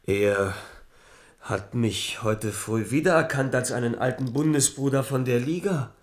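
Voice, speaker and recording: A man acting in a German radio drama as a cunning nobleman jester posing as a rough murder plotter, sly and mocking. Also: mid-range voice, a little slow, close to an everyday voice. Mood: sly, conspiratorial.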